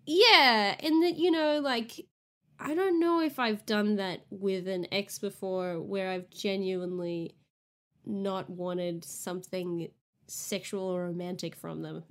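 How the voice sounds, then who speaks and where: Woman with a soft aussie accent, giggly and hesitant, lots of "like"s, casual podcast chat. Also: medium pitch, steady tone, slow. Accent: mild Australian. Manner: giggly, hesitant, many fillers. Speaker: podcast co-host.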